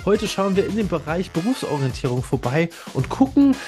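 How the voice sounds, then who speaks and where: A man's voice: high voice, very animated, brisk, a little mellow, faint music behind. A man hosting a podcast in a casual, chatty interview style, addressing listeners directly in informal language. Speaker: podcast host.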